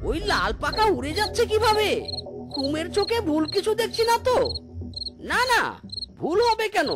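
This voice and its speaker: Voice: very high voice, very animated, moderate pace, over loud music. A performer voicing an innocent, bewildered poor animal husband in a cartoon story, with a pleading manner. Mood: puzzled.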